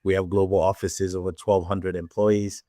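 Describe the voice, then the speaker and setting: Nigerian man in his forties, podcast guest; low voice, dry close sound, measured storytelling. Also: flat delivery, mellow, no room sound. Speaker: podcast guest, tech executive. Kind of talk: casual interview talk.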